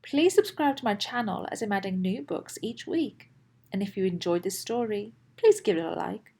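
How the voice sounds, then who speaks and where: A woman's voice: mid-range voice, lively intonation, normal speed, creaky, warm tone. A woman hosting a children's story channel, giving a scripted introduction to a read-aloud.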